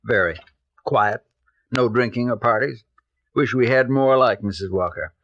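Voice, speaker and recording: An actor playing a reserved, guarded apartment house manager in a 1950s radio drama, speaking tersely. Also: male voice, mid-range voice, unhurried, a bit bright. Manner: terse, laconic. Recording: dull, hissy old radio recording.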